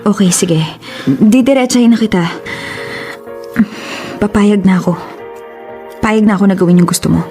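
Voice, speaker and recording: A woman's voice: mid-range voice, moderate pace, pauses often, warm tone, soft background music, close to an everyday voice. An actress playing an earnest, conflicted young woman in love in a radio drama, with a Filipino accent and a hesitant manner. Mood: shyly resolved. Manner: hesitant, explanatory.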